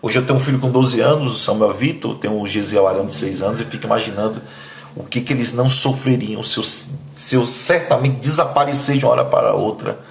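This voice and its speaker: A man's voice: fast, creaky, echoey room. A man in his thirties from Bahia, Brazil, preaching a sermon and sharing his testimony in an emphatic, pleading way. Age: 30s. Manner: emphatic, pleading, voice dropping low and soft when emotional. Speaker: pastor.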